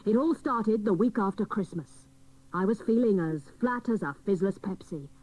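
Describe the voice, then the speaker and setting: A dotty female private detective narrates a comic radio play. Her mid-pitched voice is deadpan and mock-dramatic, spoofing hard-boiled crime stories. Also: normal speed, slightly rough, rough recording.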